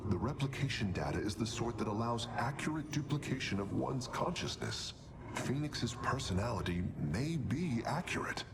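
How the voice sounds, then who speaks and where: Animated, scholarly alien engineer. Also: male voice, medium pitch, moderate pace, noisy background. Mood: matter-of-fact. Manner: precise, formal, animated. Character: earnest, scholarly alien engineer, dutiful and anxious. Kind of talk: dramatic video game acting.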